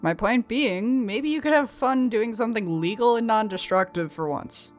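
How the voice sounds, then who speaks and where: A woman's voice: fairly high pitch, normal speed, faint music behind, close to an everyday voice. A woman voicing a level-headed young student who teases her friend in an audio drama. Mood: dry. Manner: level-headed, dryly teasing. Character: sensible young woman student, patient, wry. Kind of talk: ASMR audio drama roleplay.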